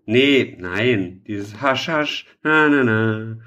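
A man's voice: on the low side, animated, extremely slow, sharp tone, lo-fi sound. Casual, joking man. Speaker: podcast co-host. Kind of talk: casual chat.

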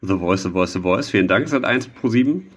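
A man's voice: deep voice, animated, brisk, breathy edge. A man co-hosts a casual podcast. He speaks in loose, conversational banter with an ironic, joking edge. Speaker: podcast co-host.

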